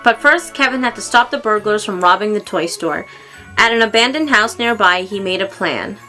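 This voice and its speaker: A woman reads a children's storybook aloud in an expressive way, acting out the exclamations and dialogue. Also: mid-range voice, normal speed, soft background music, low-quality recording. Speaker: storybook reader.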